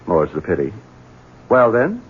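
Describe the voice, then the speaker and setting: An actor playing a bitter, jumpy, hard-drinking gentleman in a 1970s radio drama, husky, curt and prone to stammering. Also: on the low side, wildly swooping pitch, unhurried, exaggerated voice. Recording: muffled phone-like vintage radio broadcast.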